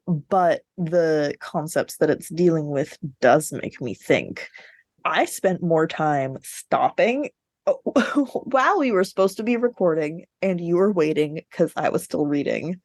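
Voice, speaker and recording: Quick-talking woman chatting casually about books. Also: low voice, darkish tone, no echo. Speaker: podcast co-host discussing books. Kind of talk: casual book chat.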